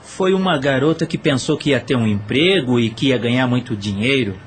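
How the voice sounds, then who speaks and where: A subdued spirit played by a man owns up plainly in an audio drama. His mid-pitched voice carries remorse and a Brazilian accent, with music behind. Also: a little fast, close to an everyday voice. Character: subdued male spirit, former brothel accomplice with remorse. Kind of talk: Portuguese-language audio drama acting.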